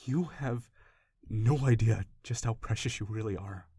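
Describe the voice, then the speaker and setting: A man's voice: on the low side, husky, no room sound, heavily acted. A man playing an obsessive, doting kidnapper in a roleplay audio, speaking to the listener with rambling, anxious affection. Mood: doting, earnest. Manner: soft-hearted, rambling, hesitant, gushing reassurance. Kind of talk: intimate roleplay audio acting addressed to the listener.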